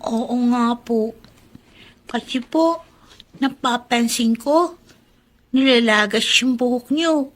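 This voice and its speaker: A woman acting a very high-voiced worried Filipino child. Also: takes it slowly, pauses often. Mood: worried, curious. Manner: plaintive, questioning, polite. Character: worried, inquisitive young child of a sick mother.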